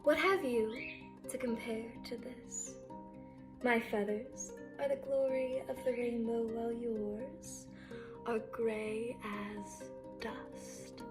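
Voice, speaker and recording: Woman voicing boastful storybook peacock: high, haughty, slow and drawn-out, music behind. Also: steady tone. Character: vain, boastful peacock in a fable.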